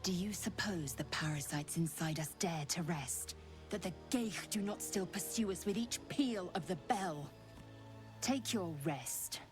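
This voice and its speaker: A woman's voice: lowish voice, measured pace, music in the background, natural voice. A woman playing a fierce alien warrior in a fantasy game, stern and menacing, with clipped, imperious, formal speech. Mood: stern, threatening. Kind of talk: fantasy video game acting.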